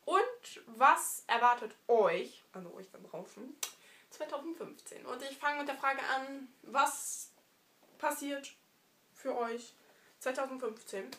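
A young woman vlogging casually from her living room with a stuffy nose from a cold, chatty and affectionate, pausing often with fillers. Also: high voice, a bit bright. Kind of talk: casual year-review vlog.

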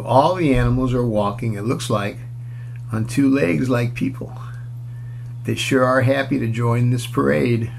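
A man in his sixties reading a children's storybook aloud, adding simple, casual explanatory asides as if to young children. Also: medium pitch. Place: small furnished room indoors. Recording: clear background noise.

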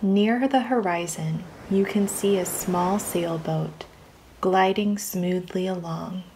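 A woman reading a scripted guided imagery meditation in a calm, soothing, measured manner with long pauses. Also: lowish voice, a little hiss or hum, poor audio.

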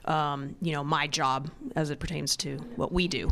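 Hesitant woman with a smooth, lowish voice. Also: steady tone, moderate pace, fairly bright tone. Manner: hesitant, full of fillers. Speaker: EMS worker in the audience. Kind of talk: answering a question in class. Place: large conference training room.

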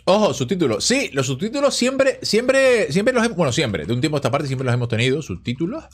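A man's voice: a little high, fairly quick, husky, faint music behind. A man in his thirties streaming a card game from a small home room on a headset mic, chatting casually and replying to viewers. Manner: relaxed, chatty, reads and answers chat. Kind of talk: casual live-stream chat while playing.

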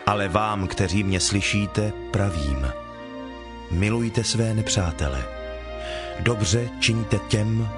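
Guy playing a solemn kind holy teacher in a bible audio drama, lowish measured preaching, plucked guitar music behind. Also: lightly acted.

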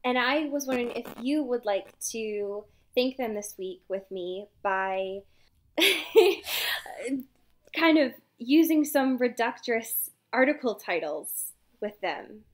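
A woman's voice: a little high, expressive, takes it slowly. A young woman co-hosting a book podcast, recapping chapters in a chatty way, swearing and laughing often. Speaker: podcast co-host. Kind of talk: casual book recap chat.

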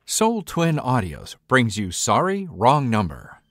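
A man's voice: mid-range voice, wildly swooping pitch, slow, a little hiss or hum. A man announcing a radio drama in a scripted, dramatic, presentational style.

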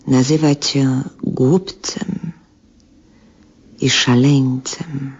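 An actress playing a worried, solemn woman in a 1970s radio drama, speaking gravely and deliberately. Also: low voice, darkish tone, exaggerated voice. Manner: slow, deliberate, grave delivery. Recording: dull 1970s radio recording.

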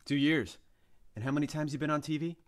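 A man acts out a hard-boiled eighties street cop with a slightly high male voice. He is gruff and scornful, lecturing with heavy emphasis in a comedy table read. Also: fairly even intonation, fast, close to an everyday voice. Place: indoors on a group video call.

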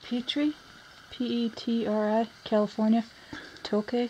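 A woman exploring an abandoned mine, speaking quietly and matter-of-factly. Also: fairly high pitch, little pitch movement, a little slow, lo-fi sound, a little hiss or hum. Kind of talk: casual chat. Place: inside an abandoned underground mine.

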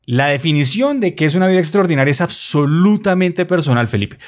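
A man in his forties from Colombia with a Bogotá accent, a podcast guest telling his story in animated storytelling chat with playful asides, recorded on a desk mic in a small studio. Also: a little high, a little fast. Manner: animated, gestures while talking, playful asides. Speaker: guest, motorcycle traveler. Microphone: desk mic close by.

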